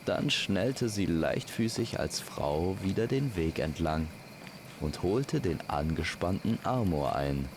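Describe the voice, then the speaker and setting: A man's voice: deep voice, expressive, slow pace, background noise. A man narrating a scripted fairy-tale audio drama as its storyteller.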